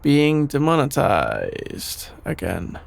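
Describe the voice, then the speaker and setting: Shy, sleepy young male best friend for ASMR audio drama, played by a man: unhurried, gravelly vocal fry, hesitant and easily flustered delivery. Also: mid-range voice, huge pitch swings, exaggerated voice. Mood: flustered, embarrassed. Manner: hesitant, halting, trailing off with sighs and nervous chuckles. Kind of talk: intimate ASMR audio roleplay, improvised-sounding dialogue.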